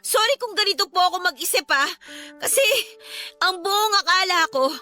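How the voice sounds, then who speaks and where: A woman's voice: very high-pitched, expressive, normal speed, sharp tone, exaggerated voice. A Filipino woman playing a frustrated, outspoken eldest daughter in a radio drama, indignant and emphatic in her delivery. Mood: frustrated, hurt. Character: frustrated, outspoken adult eldest daughter, dutiful but hurt. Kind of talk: Tagalog radio drama acting. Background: background music.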